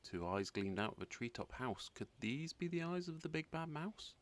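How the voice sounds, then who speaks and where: A man narrating a children's storybook aloud in an expressive, scripted read-aloud style. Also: fairly high pitch, moderate pace.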